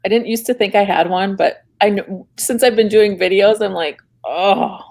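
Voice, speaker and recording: A woman's voice: medium pitch, moderate pace, vocal fry, darkish tone. A woman with a Minnesotan accent, a guest on a live chat, giving brief, easygoing replies. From Minnesota, Twin Cities. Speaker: guest YouTuber.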